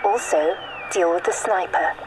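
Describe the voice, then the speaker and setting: An actress playing a brisk, businesslike wartime radio handler, giving clipped, formal orders over a radio in a video game. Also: female voice, fairly low pitch. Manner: formal, clipped, matter-of-fact. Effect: radio transmission. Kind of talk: clipped military radio dispatch. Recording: noisy field radio transmission.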